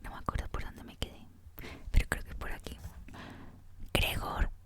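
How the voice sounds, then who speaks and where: Female ASMR reader. Constant breathy whisper, gentle and unhurried, reading prose aloud. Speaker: reader, host of a reading series.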